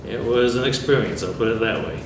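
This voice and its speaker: Older male veteran, low voice, measured and dry; roomy, distant, noisy recording. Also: lively intonation. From New York State. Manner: plainspoken, dry humor, measured. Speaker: veteran interviewee. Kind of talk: oral history interview. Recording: echoey room, far mic, background noise.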